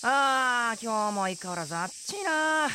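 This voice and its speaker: A man acting as a brash young samurai trainee in a comic radio drama, speaking in a rough, grumbling, slangy way. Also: very high voice, lively intonation, normal speed, pushed and bright, slight background noise, pitched above the performer's own voice, heavily acted. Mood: grumbling, breezy.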